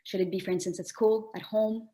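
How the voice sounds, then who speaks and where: A woman's voice: mid-range voice, fairly even intonation, a little fast, warm tone. A young woman, a first-year college student, politely reading formal prepared remarks and interview questions. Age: young adult.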